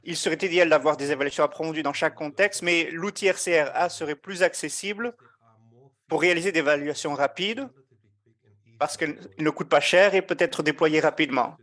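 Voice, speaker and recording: A man giving a live interpretation of an online meeting, measured and often hesitating as he keeps up with the speakers. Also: a little high, fairly bright tone. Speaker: simultaneous interpreter.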